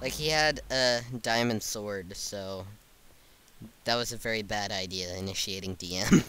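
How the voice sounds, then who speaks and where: A man's voice: fairly low pitch, measured pace, sharp tone. A man chatting over voice chat while gaming, teasing and encouraging his friend and laughing often. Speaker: gamer co-host. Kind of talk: casual gameplay commentary.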